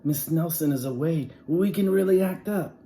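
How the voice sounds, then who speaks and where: Man putting on young schoolkids, storybook, raised pitch, excitable, exaggerated, childlike, faint room noise. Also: moderate pace, natural voice. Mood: excited. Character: excitable class of young schoolchildren, mischievous and easily scared.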